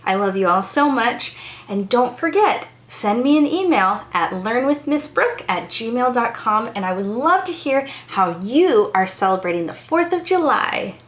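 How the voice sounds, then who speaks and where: American lady doing kids storytime, super sing-song and bubbly, slow pace, echoey room. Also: fairly high pitch, lively intonation, a bit bright. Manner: bubbly, enthusiastic, exaggerated child-directed delivery. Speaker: children's storytime teacher and host. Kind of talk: read-aloud storytime for young children.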